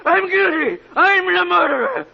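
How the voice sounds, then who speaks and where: An actor playing a broken, hysterical prisoner, frantic and repetitive, in a 1940s radio drama. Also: male voice, very high-pitched, expressive, moderate pace, gravelly, a crowd in the background, full character voice. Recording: muffled 1940s radio, noisy voices behind.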